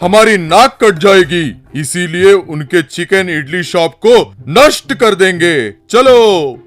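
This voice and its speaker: A man's voice: sits high, lively intonation, takes it slowly, dry recording. A man voicing a drunken, bullying village husband in a cartoon story, boastful, scornful and blustering. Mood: scheming. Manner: blustering, taunting.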